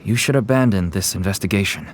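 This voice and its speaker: An actor playing a middle-aged merchant father turned smuggler in a video game, earnest, measured and persuasive. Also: lowish voice, slight background noise, natural voice. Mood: wary, firm. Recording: background music under the voice.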